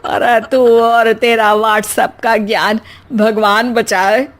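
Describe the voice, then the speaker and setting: A woman acts out a fed-up middle-aged mother-in-law from a cartoon comedy. Her voice is fairly high and drawn out. She scolds with homely, sarcastic wit. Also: level tone, slow pace. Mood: scolding. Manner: scolding, wry, colloquial.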